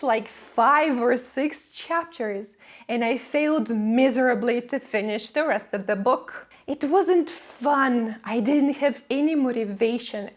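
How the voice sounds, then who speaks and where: A young woman whose first language is Russian, giving a scripted English lesson to camera with friendly, playful asides. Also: on the high side. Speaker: English teacher.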